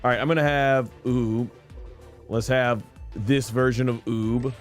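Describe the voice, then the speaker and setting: A man's voice: medium pitch, fairly even intonation, unhurried. A man chatting casually and enthusiastically as a live streamer, rambling through game commentary. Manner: enthusiastic, rambling fan chat. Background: music.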